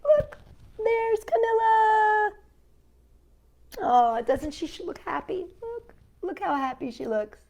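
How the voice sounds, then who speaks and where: A woman's voice: very high voice, very animated, slow, long pauses, a little mellow. A woman hosting children's storytime, reading picture books aloud with enthusiastic, chatty asides to young viewers.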